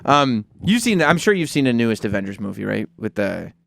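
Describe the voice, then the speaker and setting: A man's voice: mid-range voice, very animated, a little fast, gravelly, fairly bright tone, a little hiss or hum. A man hosting a casual chat, asking teasing questions and laughing often.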